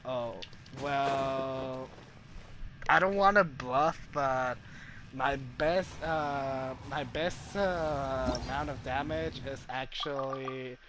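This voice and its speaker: A man's voice: mid-range voice, noisy background. A man giving casual live gameplay commentary, rambling and thinking aloud as he plays. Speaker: game streamer. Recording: loud game music behind the voice. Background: loud game music.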